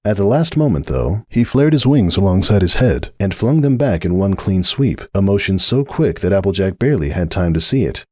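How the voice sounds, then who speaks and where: Male narrator, lowish pitch, very animated intonation, dry close sound, dramatic story reading. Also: moderate pace, hardly any pauses, no echo. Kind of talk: dramatic scripted fan fiction narration.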